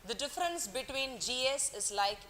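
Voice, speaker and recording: Lively bright-voiced woman teacher. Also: high-pitched, moderate pace, slight background noise. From Kerala, India. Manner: playful, teasing, coaxing students, mixes in Hindi words. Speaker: economics teacher. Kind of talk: classroom lecture. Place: classroom.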